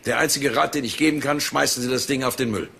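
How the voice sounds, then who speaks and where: A haughty, guarded gentleman in a crime drama. His medium-pitched voice is heavy with gravel, and he speaks in a curt, precise, condescending way. Faint noise sits behind the voice. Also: fairly even intonation, fast. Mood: curt, disdainful. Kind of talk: German crime drama dialogue.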